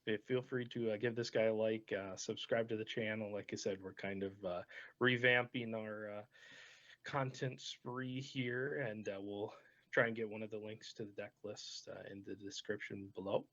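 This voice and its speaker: Low-pitched man, dull lo-fi sound. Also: fairly even intonation, moderate pace, fairly bright tone. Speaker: host of a trading card game channel. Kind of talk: casual deck discussion. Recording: dull, lo-fi voice track.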